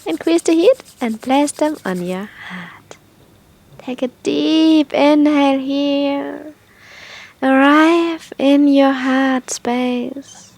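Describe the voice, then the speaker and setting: A woman guiding a meditation in a soft, breathy, unhurried manner, with gentle instructions and long pauses. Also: high voice. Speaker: meditation guide.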